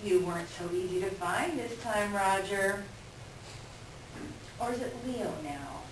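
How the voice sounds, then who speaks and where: A woman's voice: medium pitch, expressive, measured pace, close to an everyday voice. A woman acting a cool, cutting ex-wife with a dry, mocking delivery in a staged play reading. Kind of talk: staged readers' theater acting. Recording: echoey room, distant mic, noisy background.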